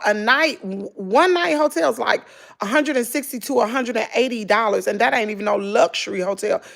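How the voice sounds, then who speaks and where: A middle-aged Southern woman talks to her viewers with a hoarse, gravelly voice. Her pitch sits a little high and swoops widely as she gossips, with dramatic exclamations throughout. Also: fairly bright tone. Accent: Southern American. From Southern United States. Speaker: vlogger. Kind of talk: casual chat with viewers.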